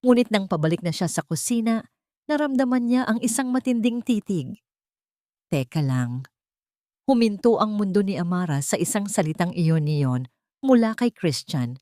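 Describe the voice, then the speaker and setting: A woman's voice: mid-range voice, animated, moderate pace, warm tone, no echo, clean studio sound. A woman reading an emotional story as a dramatic scripted narration.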